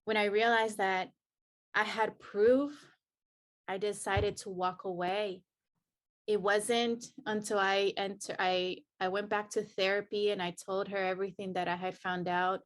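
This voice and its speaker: A woman from El Salvador, a podcast guest, earnestly and reflectively telling her personal story of healing. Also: mid-range voice. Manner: earnest and reflective, many restarts.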